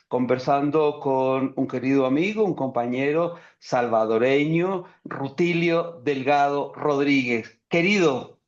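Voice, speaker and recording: A man hosting an interview over a video call, speaking in a measured, courteous way. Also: on the high side. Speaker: interview host. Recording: dull lo-fi call audio.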